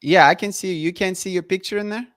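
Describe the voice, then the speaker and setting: Smooth-voiced male live stream host. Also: high voice, fairly even intonation, fast talker, fairly bright tone, no echo. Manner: upbeat, greets viewers by name. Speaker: host of a live online show. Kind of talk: live stream hosting and interviewing. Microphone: video call.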